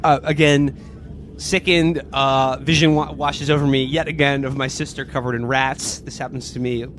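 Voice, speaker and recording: Man doing a pompous 1920s gentleman. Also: mid-range voice, fairly even intonation, normal speed, a little hiss or hum, close to an everyday voice. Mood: disturbed. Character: pompous, easily affronted 1920s gentleman duelist.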